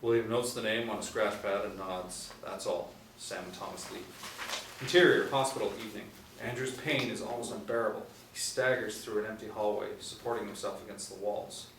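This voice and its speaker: Low-voiced male narrator, echoey room. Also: steady tone, fairly quick, fairly bright tone. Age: 40s. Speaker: narrator reading stage directions. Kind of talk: scripted narration at a table reading. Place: small indoor room. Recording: echoey room, distant mic, background noise.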